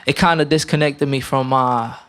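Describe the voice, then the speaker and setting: A young man from Romania, a guest musician, talking casually in an interview with many fillers and restarts. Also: medium pitch. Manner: relaxed, halting, many fillers.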